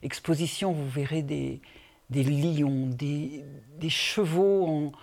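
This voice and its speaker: A woman's voice: low voice, slow, darkish tone. A woman describing a painter's exhibition with enthusiasm and some hesitations.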